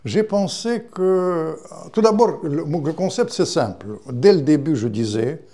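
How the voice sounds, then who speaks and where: A man's voice: fairly high pitch, animated, measured pace, warm tone. An older man with Russian-Ukrainian roots, a guest author speaking in an interview, rambling with many restarts and fillers.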